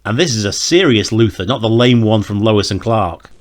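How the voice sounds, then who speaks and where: A man's voice: lowish voice, normal speed. A man making dry, sarcastic comic commentary over an audio drama.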